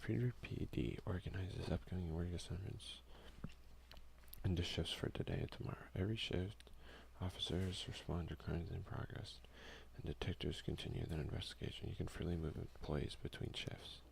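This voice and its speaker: A young man doing a soft-spoken ASMR let's play, often slipping into whispers as he comments and reads out game text close to the microphone. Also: low-pitched, fairly even intonation, moderate pace, creaky. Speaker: gaming video creator. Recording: faint noise behind a home recording.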